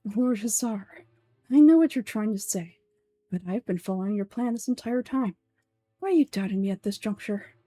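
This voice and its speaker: Woman doing calm young scholar dude from a game, dry n pointed, mellow voice, bit of music under it. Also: mid-range voice, moderate pace, no room sound, close to an everyday voice. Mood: protesting. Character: calm, defiant young male scholar, dryly self-assured. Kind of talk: amateur voice-over of game dialogue.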